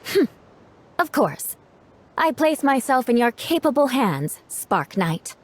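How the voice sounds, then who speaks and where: A woman's voice: high voice, very animated, takes it slowly, exaggerated voice. A woman playing a proud, anxious young knight in a video game, formal and dramatic in her delivery, fiercely protective. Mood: composed.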